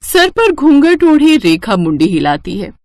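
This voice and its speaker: A woman narrating a scripted cartoon family story in an expressive storytelling manner. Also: high voice, unhurried.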